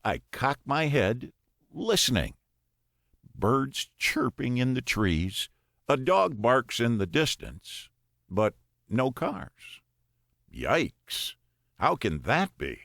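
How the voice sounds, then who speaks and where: Male audiobook narrator for first-person fiction, slightly low gravelly voice with fry, close dry recording. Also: sing-song, takes it slowly, pauses often, fairly bright tone, dry recording.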